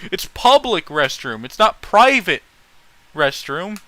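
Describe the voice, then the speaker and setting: Man, sharp bright voice, slightly high pitch, blunt, sweary, casual streamer talk. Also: sing-song, slow pace. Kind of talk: casual opinionated stream chat.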